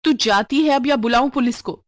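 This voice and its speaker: A woman plays a well-off madam of the house in a storybook tale. She is bossy and demanding, with a brisk, commanding manner and a dry sound. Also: high voice, dry recording, pitched above the performer's own voice, close to an everyday voice. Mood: accusing, angry. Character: well-off office-going female employer, bossy and demanding. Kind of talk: moral storybook voice acting.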